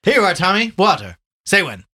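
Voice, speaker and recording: A man's voice: sits high, very animated, a little fast, sharp tone, voice pushed up, heavily acted. A man voicing a jovial, boastful journalist in a storybook, hearty and exclamatory. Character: loud, swaggering, jovial male Irish journalist back from London.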